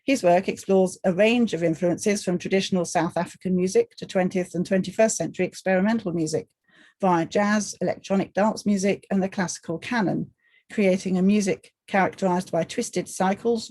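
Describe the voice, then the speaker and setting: A woman's voice: mid-range voice, steady tone, normal speed, a little mellow, no echo. A woman chairing a roundtable, reading a formal introduction of the next speaker. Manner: reads steadily from a written bio.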